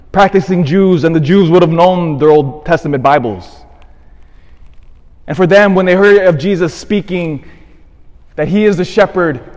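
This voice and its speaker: A fairly high-voiced male priest preaches a homily in a church, and his voice carries a long reverb. He tells the story well, sinks to a quiet hush, then lifts into lively emphasis. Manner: storytelling, swings from hushed to animated.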